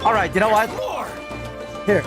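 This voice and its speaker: A game streamer giving playful, excitable playthrough commentary, often repeating phrases in excitement. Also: medium pitch, moderate pace, over music.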